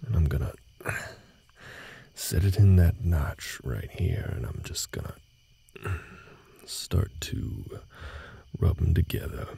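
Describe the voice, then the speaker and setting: Man playing a gravelly, very deep gruff cowboy. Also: expressive, mellow, exaggerated voice. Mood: patient, matter-of-fact. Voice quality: gravelly, husky low voice. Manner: slow, halting, folksy, reserved. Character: gruff, guarded cowboy ranch hand patiently teaching a newcomer. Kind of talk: intimate scripted audio roleplay, slow speech to the listener.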